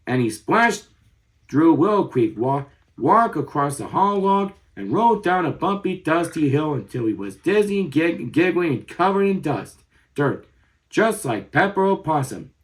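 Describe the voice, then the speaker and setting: A man in his thirties reads a children's story aloud. His voice sits slightly high and moves in very lively rises and falls, as an expressive storyteller's does. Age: 30s.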